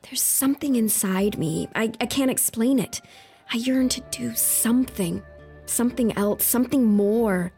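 A spirited young heroine. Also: female voice, fairly high pitch, unhurried, mellow, soft background music. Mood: earnest. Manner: spirited, wry, determined. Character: bold young woman from Constantinople. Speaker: voice actor in an audio drama. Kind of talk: audio drama acting.

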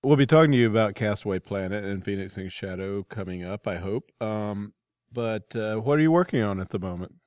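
Low-pitched male host, halting delivery. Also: lively intonation, darkish tone, no room sound, clean studio sound. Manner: halting, searches for words. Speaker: interview host. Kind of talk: casual interview.

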